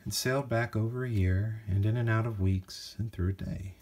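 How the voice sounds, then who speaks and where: A man with a husky voice narrating a children's storybook from a script. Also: fairly low pitch, fairly even intonation, moderate pace, darkish tone, a little hiss or hum. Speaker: storybook reader.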